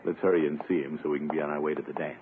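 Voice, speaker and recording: An actor playing a pompous, smitten middle-aged bachelor in a blustery, theatrical way for a 1950s radio comedy. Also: male voice, rumbling low, flat delivery, rapid-fire. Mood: smug. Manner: blustery, theatrical exclamations and chuckles. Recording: noisy, narrow-band 1950s radio recording.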